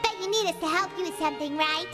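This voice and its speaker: A woman voicing a tiny, chirpy and pushy fairy companion in a video game, brisk and blunt. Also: extremely high pitch. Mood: chirpy, nosy. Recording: game music behind the voice.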